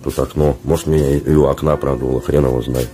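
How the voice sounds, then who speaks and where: A man with an extremely low, flat voice talks casually to his vlog viewers. He is sick with a sore throat and his voice is worn out, so he speaks in halting phrases. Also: slight background noise. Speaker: vlogger. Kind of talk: casual vlog talk to camera. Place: small dormitory room, indoors. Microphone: handheld phone at arm's length.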